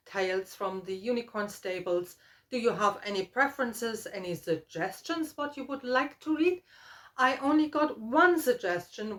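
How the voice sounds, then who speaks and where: A middle-aged woman talking casually to viewers as a book vlogger, in a halting, thoughtful way with trailing asides. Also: medium pitch, fairly bright tone. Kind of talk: casual book chat to camera.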